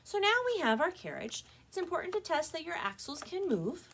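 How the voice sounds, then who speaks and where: A woman's voice: very high-pitched, big pitch swings, a little fast, a bit bright. A woman presenting a step-by-step craft demonstration for children as a librarian, speaking to her young viewers in a friendly, direct way.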